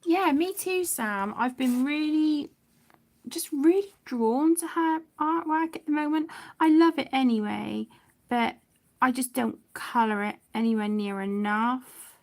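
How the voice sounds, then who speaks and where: A middle-aged woman chatting casually on a livestream, affectionate and apologetic, with phrases that trail off softly. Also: high voice, unhurried. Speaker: livestream host of an adult colouring channel. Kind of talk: casual livestream chat while colouring.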